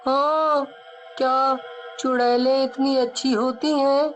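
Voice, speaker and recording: A woman voicing an earnest young boy, a protective older brother in a cartoon story, with drawn-out pleading delivery. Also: high-pitched, steady tone. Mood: amazed, emotional. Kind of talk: Hindi cartoon story dubbing. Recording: music behind the voice.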